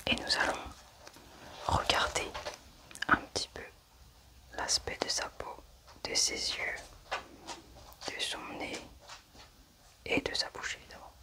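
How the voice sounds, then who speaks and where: The voice is a soft, unvoiced whisper from an ASMR roleplayer acting as a medical examiner. They move calmly through a procedure, describing each step methodically. Nothing rises to full speech. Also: takes it slowly, long pauses, low-quality recording. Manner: calm, methodical, step-by-step narrating.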